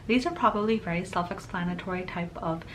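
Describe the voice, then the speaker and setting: A woman's voice: fairly low pitch, fast, fairly bright tone, a little hiss or hum. A woman in her thirties narrating a planner video in a chatty, rambling way, her voice a little off from a cold she is getting over. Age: 30s. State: slightly off voice from a cold. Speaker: planner vlogger.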